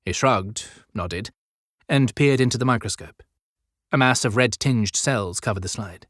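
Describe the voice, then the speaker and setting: A man narrating an audiobook story in a measured, descriptive storytelling style. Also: medium pitch, fairly bright tone, no echo, clean studio sound. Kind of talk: scripted dramatic storytelling narration.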